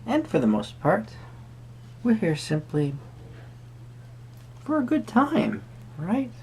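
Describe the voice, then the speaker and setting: A performer plays an eccentric Renaissance fair tarot reader in an improvised roleplay. The pitch swoops up and down through hesitant, theatrical phrases addressed kindly to the listener. A faint noisy hum sits behind the voice. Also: wildly swooping pitch, vocal fry, darkish tone, full character voice. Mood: whimsical, musing. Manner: hesitant, theatrical, halting phrasing with sudden emphasis. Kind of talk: improvised first-person roleplay addressed to the viewer.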